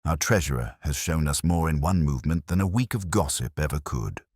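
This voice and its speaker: A very low-voiced, mild police inspector played by a male narrator, calmly laying out his case with gentle, deliberate point. The voice is husky, recorded dry and close, in the manner of a detective audiobook. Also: dry recording, exaggerated voice. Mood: quietly accusing. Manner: measured, gently pointed speechmaking. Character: mild, calm male police inspector delivering quiet reveals.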